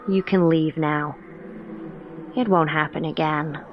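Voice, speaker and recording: An actress playing a cryptic, controlling older woman in an audio drama, speaking in a measured, condescending, knowing way. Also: sits low, fairly even intonation, dull audio, very noisy. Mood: hushed, commanding.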